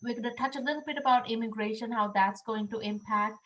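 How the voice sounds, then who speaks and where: A woman's voice: a little high, fast, a bit bright, highs cut off. A woman from Sri Lanka, an international programs director, presenting a webinar in a friendly way with many "um"s. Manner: friendly, filler-heavy speech with many "um"s.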